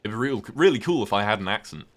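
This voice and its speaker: A man's voice: on the low side, animated, fast talker, a bit bright. A young British man chatting casually with his stream chat while playing a game, rambling with lots of fillers. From Britain.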